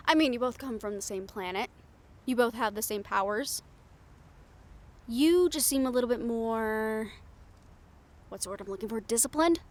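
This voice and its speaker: A woman's voice: a little high, lively intonation, normal speed, pauses often, natural voice. A woman playing a brash, cynical, foul-mouthed reporter in an improvised audio roleplay, with a blunt, sardonic manner. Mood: frank, wry.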